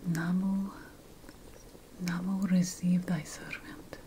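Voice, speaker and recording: A woman playing an ancient Sumerian witch priestess in a whispered ASMR fantasy role-play, breathy, solemn and deliberate as she addresses an apprentice. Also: lowish voice, level tone, dark tone, slight background noise. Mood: solemn warning.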